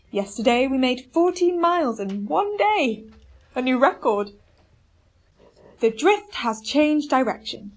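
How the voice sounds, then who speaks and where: Woman as polar expedition captain, high voice, commanding, measured log-keeping, radio drama. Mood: steady, hopeful. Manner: firm, authoritative. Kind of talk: radio play acting and log narration.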